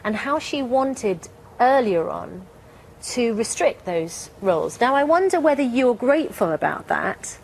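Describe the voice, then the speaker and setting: Woman interviewer, slightly high voice. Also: big pitch swings, measured pace, vocal fry, slight background noise, low-quality recording. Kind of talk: conversational broadcast interview.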